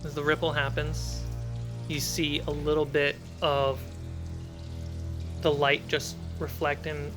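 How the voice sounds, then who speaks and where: Higher-pitched male game master. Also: little pitch movement, slow, pauses often, music in the background. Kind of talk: narrating a tabletop fantasy game.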